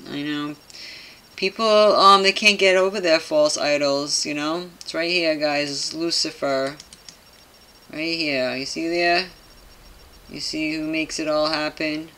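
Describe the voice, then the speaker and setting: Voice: medium pitch, steady tone, takes it slowly, sharp tone, a little hiss or hum. A person reading aloud and commenting casually to viewers, with skeptical, conspiratorial asides and frequent tag questions, in a home recording. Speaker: video host commenting on a topic.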